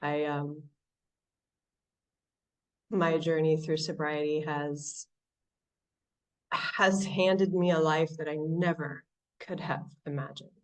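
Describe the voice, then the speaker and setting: Low-voiced American woman, reflective and halting. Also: little pitch movement, far from the mic, poor audio. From Southern California. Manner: reflective, halting, many restarts and fillers. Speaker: speaker sharing at a recovery meeting. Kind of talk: personal recovery share. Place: online meeting from home.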